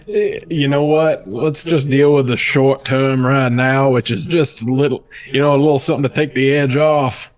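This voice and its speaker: A man's voice: mid-range voice, fairly even intonation, measured pace, few pauses, lightly acted. Man playing a weary, understated adventurer. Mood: pained, downplaying. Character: weary male adventurer, pained, downplaying his hurt.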